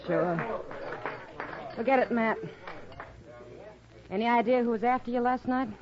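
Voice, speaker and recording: A woman's voice: fairly high pitch, measured pace, noisy background, close to an everyday voice. An American woman playing a frank, worried saloon woman in a 1950s radio western, speaking in a direct, familiar way. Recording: dull vintage radio recording with music.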